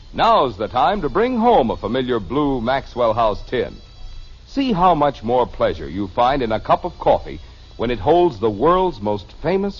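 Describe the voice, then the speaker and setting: Male announcer, American, vintage radio ad, very animated, slightly husky, emphatic pitch, dull recording over faint music. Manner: polished, emphatic salesmanship. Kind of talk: 1950s radio commercial.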